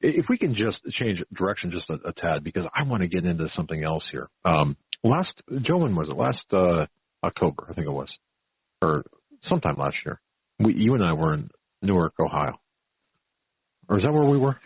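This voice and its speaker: A man hosting a conversational radio interview, with a halting, repetitive, folksy and emphatic way of talking. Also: sits low, big pitch swings, breathy edge. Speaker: talk show host. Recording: phone-like tinny band, roomy echo.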